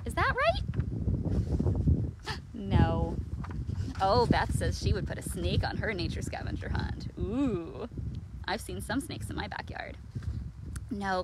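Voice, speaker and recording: An American woman hosting a storytime for young children outdoors, talking expressively and putting questions to her viewers. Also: mid-range voice. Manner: animated and expressive, with dramatic gasps, whispers and questions. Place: outdoors in a backyard. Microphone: handheld or propped phone at arm's length. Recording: outdoor phone recording with clear background noise.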